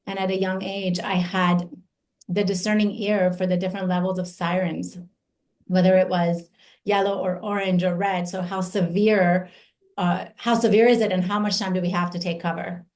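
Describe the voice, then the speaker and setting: A woman in her forties who grew up in Iran, giving an earnest personal testimony as an invited speaker, slow and deliberate with emphatic pauses. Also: fairly low pitch, flat delivery, a little mellow.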